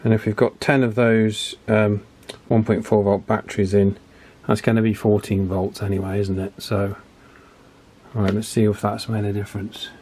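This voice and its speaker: A man giving a casual hands-on repair commentary, thinking aloud with pauses mid-phrase. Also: fairly low pitch, level tone, a little mellow, slight background noise. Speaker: electronics repair vlogger.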